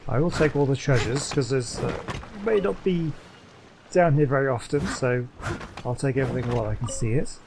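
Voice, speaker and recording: A man giving casual, low-key gameplay commentary, musing aloud as a video host. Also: normal speed, vocal fry, background noise.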